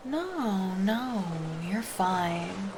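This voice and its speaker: Slow-talking, creaky, caring lifeguard woman, acted out by a woman. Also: medium pitch, lively intonation. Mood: soothing, reassuring. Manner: soft, intimate, casual, reassuring. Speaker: roleplay voice performer. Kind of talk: roleplay ASMR acting. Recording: background noise under the voice.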